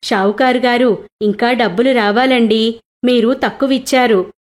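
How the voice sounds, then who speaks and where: A woman voicing a kindly female bangle seller who speaks respectfully and gently in a storybook tale. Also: on the high side, fairly even intonation, normal speed, close to an everyday voice. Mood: polite insistence.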